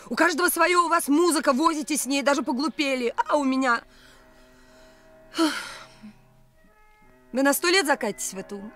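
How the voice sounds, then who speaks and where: Young provincial woman, very high lively voice, impulsive, teasing, mocking, radio drama. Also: full character voice. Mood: wistful, bitter. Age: young adult. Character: capricious, teasing, bored young provincial merchant's daughter. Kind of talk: radio play acting.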